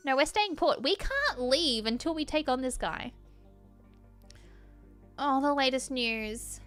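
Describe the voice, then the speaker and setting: A woman livestreaming a game, switching between reading the text aloud and reacting off the cuff in an animated way. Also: high voice, slow pace, pauses often. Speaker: game streamer. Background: background music.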